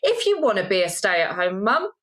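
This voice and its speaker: A woman's voice: medium pitch, sing-song, moderate pace, a bit bright. A middle-aged woman co-hosting a casual commentary show, making her points with passionate emphasis. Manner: emphatic, impassioned ranting. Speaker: co-host. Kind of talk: casual social media commentary.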